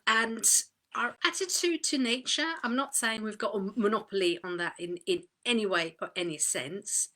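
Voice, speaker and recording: A woman from Denmark speaks in a relaxed, chatty way on a live video stream, full of fillers and small restarts. Her voice sits fairly low but sounds bright and crisp. She sounds a little tired, talking from a small, dim home office. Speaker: host of an online community talk. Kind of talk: live video talk to a group.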